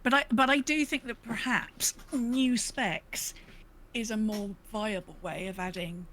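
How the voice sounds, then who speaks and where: A woman's voice: on the high side, measured pace, a bit bright, poor audio. A woman co-hosting a podcast in a casual gaming discussion, chatty and tentative as she restates her points. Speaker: podcast co-host. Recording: faint background noise.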